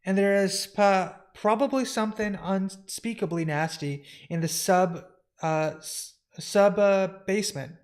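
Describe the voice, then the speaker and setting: A comic reader voicing a timid, fretful keeper of a haunted house, with a halting, nervous stammer. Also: mid-range voice, pitched above the performer's own voice, lightly acted. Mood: nervous, flustered.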